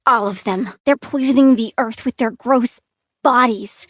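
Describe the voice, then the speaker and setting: A woman's voice: on the high side, measured pace, natural voice. An actress playing a childlike plant-girl villain in a video game, girlish and playful, petulant, gleefully menacing and doting on her plants. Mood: blunt, matter-of-fact. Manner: playful, petulant, swings from cooing to threats. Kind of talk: video game character voice lines.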